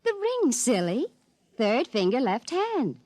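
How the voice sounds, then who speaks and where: An actress playing a sweet, flustered young secretary in a 1950s radio drama, breathless and earnest. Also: female voice, high-pitched, huge pitch swings, slow, a little mellow, no echo, heavily acted.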